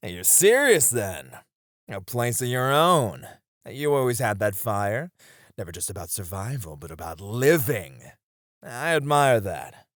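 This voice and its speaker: Man acting as a slow-spoken, low-voiced weary warrior. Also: sing-song, no echo, clean studio sound. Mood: gently teasing, warm. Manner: soft, wistful, with breathy chuckles and sighs. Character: weary young male warrior, tender, teasing sparring partner. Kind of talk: intimate ASMR romance roleplay acting.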